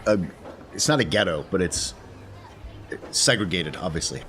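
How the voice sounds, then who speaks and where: A man's voice: deep voice, expressive, moderate pace, fairly bright tone, some chatter behind, slight background noise. A man playing a tabletop role-playing game, chatting casually with dry, self-deprecating humour.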